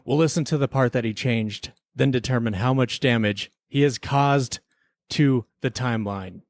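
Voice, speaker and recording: A man's voice: mid-range voice, normal speed. A man hosting a comic podcast radio drama in a scripted, investigator-like style.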